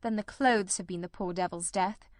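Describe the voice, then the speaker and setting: A performer voicing a shrewd, grimly determined male detective in a radio mystery, speaking formally and deliberately. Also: sits high, no echo, studio quality, natural voice. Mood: analytical. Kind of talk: old-time radio mystery drama.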